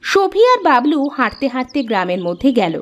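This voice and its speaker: A woman's voice: high-pitched, very animated, brisk, muffled recording, a little hiss or hum. A woman reading scripted storybook narration as the narrator of a children's moral tale.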